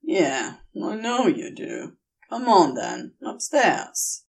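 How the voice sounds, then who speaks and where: A woman voicing a worried, protective male demon in an audiobook, terse, guarded and quick to blame himself. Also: medium pitch, big pitch swings, vocal fry, darkish tone, recorded from a distance, exaggerated voice. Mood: gruffly caring. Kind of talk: acted audiobook dialogue.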